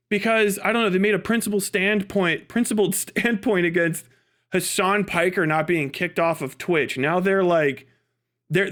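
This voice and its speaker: High-pitched man ranting casually. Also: fairly bright tone. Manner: sweary, emphatic, argues by asking and answering own questions. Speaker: livestream host. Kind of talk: casual ranting political commentary.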